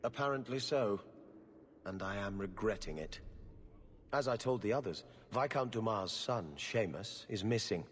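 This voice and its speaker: A man's voice: fairly low pitch, expressive, moderate pace, long reverb tail, slight background noise, lightly acted. An actor playing a stiff, haughty male court official who speaks in a formal, curt and officious way.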